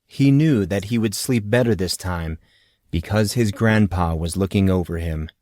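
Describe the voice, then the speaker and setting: A man's voice: deep voice, normal speed. A man reading scripted fiction as an audiobook narrator.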